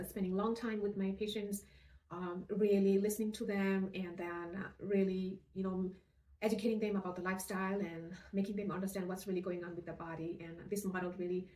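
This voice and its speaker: A middle-aged woman from Nepal with a Nepali accent, earnestly telling her personal story in measured phrases. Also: medium pitch, a little mellow. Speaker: nurse practitioner and functional medicine provider. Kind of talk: personal story told to camera. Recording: roomy echo, distant microphone.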